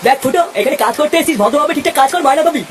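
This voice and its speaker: A man voicing a stern, contemptuous factory overseer in a comic cartoon, threatening and sneering in a pitched-up voice. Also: very fast, a little hiss or hum.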